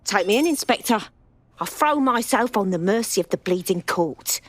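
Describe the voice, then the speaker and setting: A woman's voice: medium pitch, expressive, moderate pace, natural voice. An actress playing a vicious, defiant female crime boss in a video game, with a British accent and a coarse, taunting manner. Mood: defiant, scornful.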